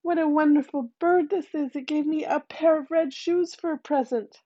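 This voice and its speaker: Squeaky excited little girl, told by a lady narrator, muffled and dry. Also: moderate pace, dry recording. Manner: breathless, excitable. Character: little girl, sad then cheerful. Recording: dull, muffled recording.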